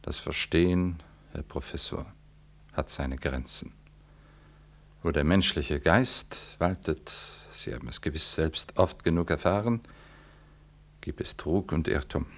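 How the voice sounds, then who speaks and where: Very deep, creaky, solemn priest. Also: male voice, fairly bright tone, full character voice. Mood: gently unyielding. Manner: deliberate, formal, weighty pauses. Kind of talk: 1960s German radio play acting.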